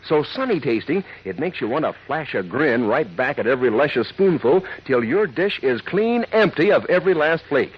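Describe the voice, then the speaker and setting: A man's voice: a little high, sing-song, moderate pace, hardly any pauses, slightly rough. A man reading a 1940s radio advertisement with upbeat, folksy sales patter. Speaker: commercial announcer. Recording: muffled old radio recording.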